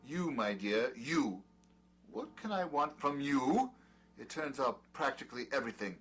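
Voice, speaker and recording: An actor playing a manic, cruel, grandiose doctor in a horror audio drama, ranting and sneering, swinging from outbursts to mutters. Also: male voice, mid-range voice, expressive, unhurried, fairly bright tone, soft background music. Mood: gloating, condescending.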